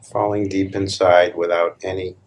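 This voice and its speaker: A man leading a guided meditation as a spiritual teacher, speaking calmly and deliberately in short phrases with long pauses between them. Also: low-pitched. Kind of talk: guided meditation, slow spoken instructions. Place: live meditation session in Los Angeles.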